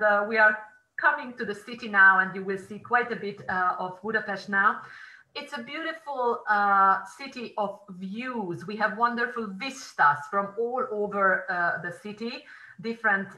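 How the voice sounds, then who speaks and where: A woman's voice: medium pitch, bright, forceful tone. Hungarian-accented woman guide, echoey and distant. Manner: explanatory, frequent "uh" pauses, addresses viewers directly. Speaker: tour guide. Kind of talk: guided virtual tour presentation.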